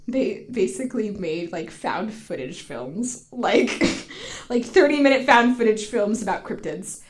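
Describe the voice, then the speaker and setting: A woman's voice: mid-range voice, animated, a little slow. A woman chatting casually as a podcast co-host, telling a story in a giggly, sweary way full of "like". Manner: giggly, sweary, heavy use of "like". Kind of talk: casual comedic chat, storytelling.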